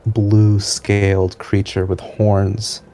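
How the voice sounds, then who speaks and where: A man narrating a tabletop game as game master, improvising suspensefully with pauses in mid-sentence. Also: on the low side, little pitch movement, dark tone, a little hiss or hum.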